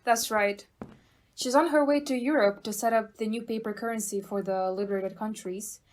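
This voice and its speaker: A woman's voice: medium pitch, steady tone, moderate pace, lightly acted. A woman acting an agitated, emphatic female police inspector in an amateur audio play. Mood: worried, exasperated.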